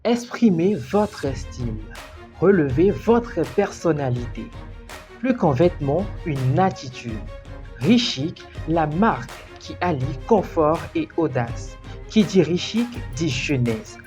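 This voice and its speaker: A woman reads a commercial in punchy slogans, her voice very low and dark. Faint music plays underneath. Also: sing-song, slow. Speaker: ad voice-over. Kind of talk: scripted commercial.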